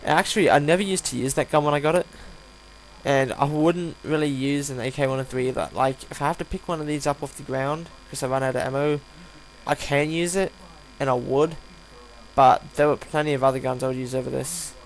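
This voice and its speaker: A tired man with a croaky voice gives casual, unscripted game commentary, rambling and hesitant as he reviews. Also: mid-range voice, steady tone, brisk, poor audio. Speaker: gaming video host reviewing a weapon. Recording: home recording with audible hum, background noise.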